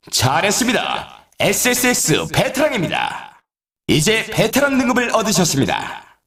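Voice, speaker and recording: An excitable snowboarding announcer for a video game. His pitch swings wildly as he delivers polite, dramatic scripted commentary. The sound is echoey and set back from the microphone. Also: heavily acted. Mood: congratulatory. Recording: roomy echo, distant from mic.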